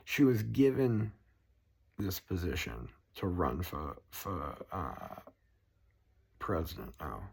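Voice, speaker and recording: A man's voice: sits low, sing-song, slow pace, gravelly, long pauses, darkish tone, slightly rough. A man with a Boston accent ranting at length, swearing constantly and rambling. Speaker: political vlogger. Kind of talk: profane rambling rant to camera.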